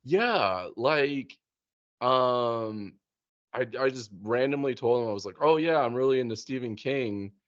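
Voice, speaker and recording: A man's voice: medium pitch, a little slow, no room sound, muffled recording. A man chatting casually as a podcast host, in an informal, slangy way. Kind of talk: casual chat.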